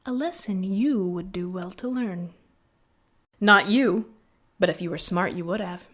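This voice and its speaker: A woman's voice: medium pitch, unhurried, a bit bright. A woman voicing a proud, stern rebel military tactician in a video game, curt, sardonic and articulate, given to lecturing. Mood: scornful, angry. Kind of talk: scripted video game character acting.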